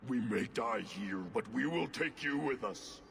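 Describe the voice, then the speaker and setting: Gravelly fanatical cult thug. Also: male voice, mid-range voice, animated, a little slow, a bit bright. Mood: fanatical menace. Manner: fervent, declamatory. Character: fanatical, menacing underworld cult thug, adult man. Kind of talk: dramatic fantasy video game acting. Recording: long hall reverb, background ambience noise.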